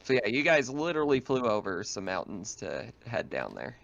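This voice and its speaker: A man's voice: lowish voice, very animated, normal speed, gravelly, fairly bright tone, muffled recording, a little hiss or hum. A man casually narrating and explaining a tabletop game as game master.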